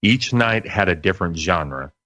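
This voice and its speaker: A man with a very low voice chats as a guest on a radio show. He speaks casually and haltingly, thinking aloud, with a dry sound. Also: lively intonation, bright, forceful tone, no echo, dull audio. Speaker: interview guest, internet radio broadcaster. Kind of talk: casual conversational recollection.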